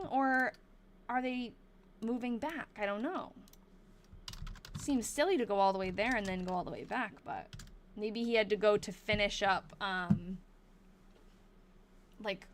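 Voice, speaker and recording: A woman from Massachusetts hosting a live stream, reading an old diary aloud in a casual way, thinking out loud and slowing down over hard words. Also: mid-range voice, a little hiss or hum.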